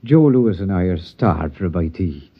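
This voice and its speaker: An actor playing a charming, lilting stranger in a 1950s radio drama. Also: male voice, low voice, animated, moderate pace, husky, heavily acted. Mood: gentle. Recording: dull old radio broadcast.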